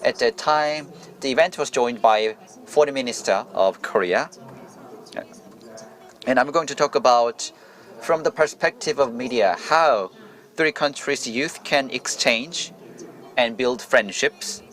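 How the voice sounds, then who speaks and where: A man interprets a conference talk into English on the fly. His voice sits at a middling pitch, and his delivery halts and restarts as he catches up with the speaker. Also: fairly bright tone. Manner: halting, restarts phrases mid-sentence. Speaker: interpreter voicing a journalist's speech. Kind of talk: simultaneous interpretation of a forum presentation.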